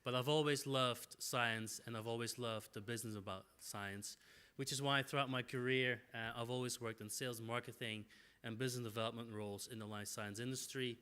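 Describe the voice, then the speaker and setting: A middle-aged man from Belgium giving a conference presentation in a relaxed way, with frequent fillers and restarts. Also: mid-range voice, a little fast, smooth. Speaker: conference speaker.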